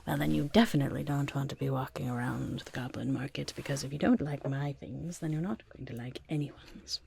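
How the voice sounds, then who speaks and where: A woman voicing a quirky, shifty goblin merchant in a whispered ASMR roleplay, breathy and crackly, with halting, drawn-out salesmanship and muttered asides. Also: rumbling low, a little mellow, exaggerated voice. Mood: grumbling. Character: quirky shifty goblin merchant peddling grotesque wares, eager and defensive.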